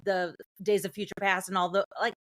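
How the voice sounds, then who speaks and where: A woman's voice: mid-range voice, level tone, a little fast, a bit bright, no echo. A woman co-hosting a podcast, chatting casually and recapping films. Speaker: podcast co-host. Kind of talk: casual film review chat with plot recap.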